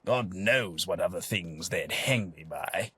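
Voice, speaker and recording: An actor playing a genteel, long-winded British gentleman adventurer in a video game, pompous and rambling, with old-fashioned politeness and a husky voice. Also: low voice, expressive, a little slow, no echo, exaggerated voice. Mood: weary, disdainful. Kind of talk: theatrical video game companion dialogue.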